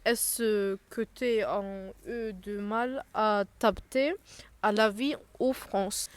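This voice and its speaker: A woman interviewing her young cousin, reading prepared questions in a slow, halting way and sometimes restarting words. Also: mid-range voice, fairly even intonation. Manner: slow, halting delivery with restarts mid-word.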